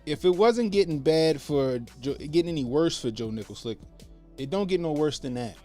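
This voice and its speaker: A man hosting a casual political commentary livestream, talking loosely with slang and repeating phrases for emphasis, in what he calls a monotone voice. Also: on the high side, normal speed. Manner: self-described monotone voice. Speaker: livestream host. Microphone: podcast mixer setup. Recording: faint background music under the voice.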